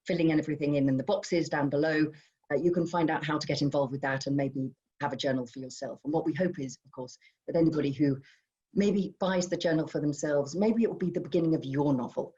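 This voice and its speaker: A woman's voice: low voice, warm tone. A woman hosting an online literary event, introducing it live in an enthusiastic, encouraging manner over a video call. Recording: dull, lo-fi video call audio.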